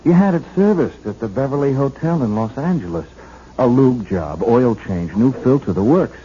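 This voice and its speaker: A man's voice: medium pitch, lively intonation, normal speed, mellow, close to an everyday voice. Man playing a shrewd radio detective, swooping delivery. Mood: triumphant, accusing. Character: shrewd, folksy male detective confronting suspects. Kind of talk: 1970s radio drama acting. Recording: muffled, hissy 1970s radio recording.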